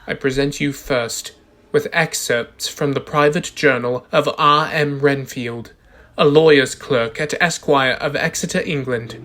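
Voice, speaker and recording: A man's voice: medium pitch, fairly even intonation, a little slow, a bit bright, natural voice. A man playing a grave, formal narrator who presents a suspenseful horror story in a radio drama. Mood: solemn, suspenseful.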